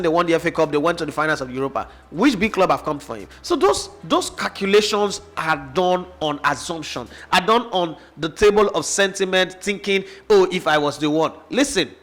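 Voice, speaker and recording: A man's voice: high-pitched, fairly bright tone. Passionate Nigerian man arguing football. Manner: passionate, argumentative, fires rhetorical questions. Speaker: sports show host. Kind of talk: opinionated football analysis chat.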